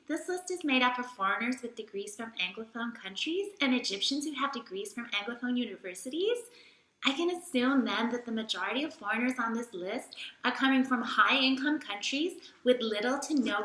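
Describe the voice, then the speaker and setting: High, earnest expatriate scholar played by a woman. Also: bright, forceful tone. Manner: earnest, formal, lecturing. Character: earnest, polite, socially conscious expatriate scholar. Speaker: performer in a dramatic reading of emails. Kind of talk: staged dramatic reading. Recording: very echoey room.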